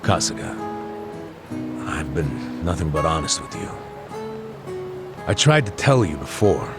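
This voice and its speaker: An actor voicing a jaded, streetwise middle-aged former detective in a video game, casual and sardonic, with a goading way of talking. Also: male voice, rumbling low, very animated, unhurried, heavily acted. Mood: wry, blunt. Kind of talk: video game cutscene voice acting. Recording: dramatic music behind the voice. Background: loud background music.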